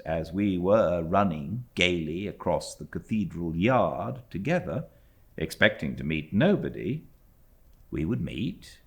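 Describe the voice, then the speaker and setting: Male British storybook narrator, deep register, highly animated intonation, measured literary delivery. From Britain. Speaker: audiobook narrator. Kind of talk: expressive literary storybook reading.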